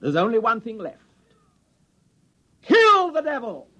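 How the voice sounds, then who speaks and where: Husky, high-pitched pompous alien elder. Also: male voice, wildly swooping pitch, unhurried, heavily acted. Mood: outraged, resigned. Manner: stuffy British gentleman phrasing, pompous. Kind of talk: 1950s radio comedy acting. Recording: dull vintage radio recording.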